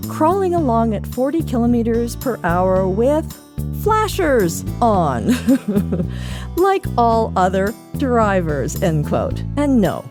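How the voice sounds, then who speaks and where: A woman with a Canadian accent reads a scripted piece in a wry, playfully formal way. Her pitch swings widely from phrase to phrase. Guitar music plays clearly behind her. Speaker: podcast segment presenter. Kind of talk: scripted newsletter reading.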